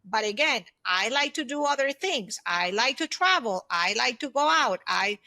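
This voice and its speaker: Woman in her fifties, bright forceful tone, slightly high pitch, conversational advice, interview guest, emphatic. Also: expressive, normal speed, dry recording. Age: 50s. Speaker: podcast guest, former aerospace executive.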